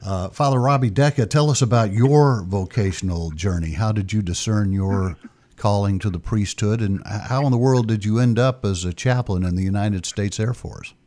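A male radio host with a very deep voice and a dark, mellow tone. He reads out contact details slowly and deliberately, then turns to question his guest. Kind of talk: radio interview hosting.

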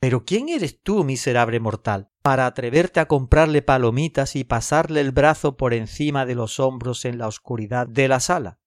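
A man's voice: medium pitch, normal speed, hardly any pauses, lightly acted. A man playing a lonely, lovelorn and self-deprecating narrator in a scripted audio fiction. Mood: nervous, self-mocking.

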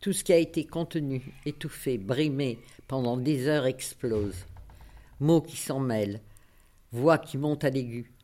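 A man reads a written literary piece aloud. His voice sits at an average pitch for a man. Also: measured pace, dark tone. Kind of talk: scripted literary reading.

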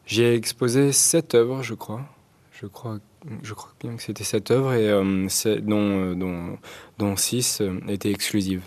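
A man's voice: lowish voice, little pitch movement, a little slow, darkish tone. A young man in his twenties, Arabic his first language, answering interview questions hesitantly with many fillers and restarts. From Algeria. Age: 20s. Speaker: guest artist. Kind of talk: radio interview.

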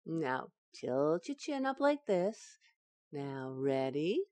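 An actress playing a feisty, playful housewife in a 1940s-style radio sitcom, with wry, teasing delivery. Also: female voice, sits low, sing-song, takes it slowly, dark tone, no echo, heavily acted. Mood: soft, coaxing.

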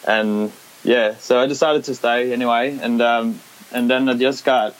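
A man's voice: medium pitch, fairly even intonation, moderate pace, clear, resonant tone, poor audio. A young man with a Danish accent, a skateboarder talking casually in an interview, hesitant with many restarts and fillers. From Denmark. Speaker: skateboarder, competition winner. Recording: faint background hiss.

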